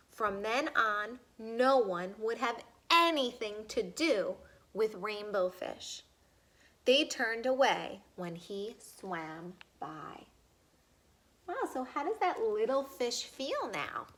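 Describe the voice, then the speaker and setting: Bright, unhurried female storybook reader. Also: fairly high pitch. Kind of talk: expressive read-aloud narration with questions to listeners.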